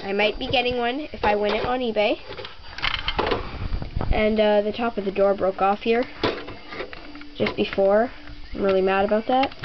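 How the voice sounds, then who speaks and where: A young girl giving a rambling tour of her toy horse barn at home, with many ums and pauses. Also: medium pitch, steady tone, background noise. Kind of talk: casual home video narration. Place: bedroom at home. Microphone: handheld camera mic. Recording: slightly dull lo-fi home camera audio.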